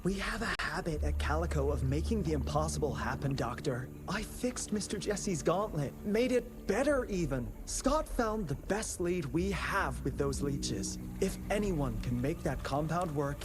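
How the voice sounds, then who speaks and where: A man's voice: a little high, animated, moderate pace, hardly any pauses, lightly acted. An actor voicing a loyal male engineer who talks in an earnest, persuasive way. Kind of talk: video game cutscene acting.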